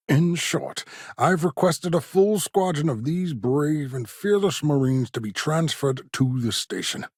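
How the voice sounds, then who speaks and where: A man's voice: mid-range voice, husky, no echo, clean studio sound. An actor playing an eccentric, condescending but eager male scientist in a space video game, speaking in a fussy, wry, pedantic way. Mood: condescending, impatient. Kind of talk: video game character dialogue.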